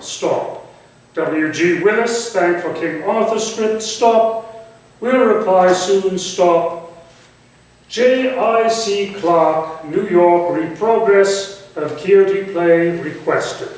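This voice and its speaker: Man playing a high-voiced, imperious Victorian theatre chief. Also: slow pace. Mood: commanding, brisk. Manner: declamatory, lecturing, clipped phrases. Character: imperious Victorian actor-chief dictating curt telegram orders. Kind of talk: theatrical dictation in a staged reading. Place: indoor theatre space, staged reading. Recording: very echoey hall, distant mic.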